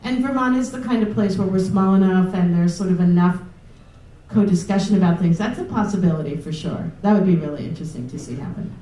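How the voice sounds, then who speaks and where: A woman's voice: on the low side, fast, mellow. A woman researcher on a panel, speaking thoughtfully and rambling through long sentences with restarts. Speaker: researcher in nutrition and food science. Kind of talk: panel discussion. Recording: roomy room audio from a distance.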